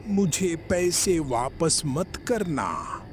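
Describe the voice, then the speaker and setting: A man voicing a kindly, solemn old village elder in a cartoon moral story, earnest and deliberate, often breathy. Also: fairly high pitch, animated, slight background noise. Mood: kindly, grave. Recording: music behind the voice.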